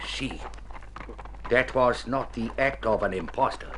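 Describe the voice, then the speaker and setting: A man playing a grave, dignified older Spanish father in a radio drama, speaking formally and with measured care. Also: mid-range voice, lightly acted. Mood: earnest, yearning. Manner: formal, measured, stilted phrasing. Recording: noisy old radio drama recording.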